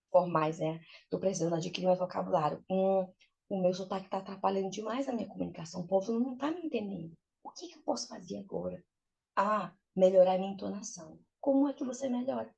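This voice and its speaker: A woman's voice: mid-range voice, creaky, slightly rough, lo-fi sound. A young adult woman with a Brazilian accent, an English teacher explaining informally on a video call, often posing rhetorical questions and acting out examples. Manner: animated, uses rhetorical questions and acted-out examples. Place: small plain room indoors. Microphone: video call, laptop close up.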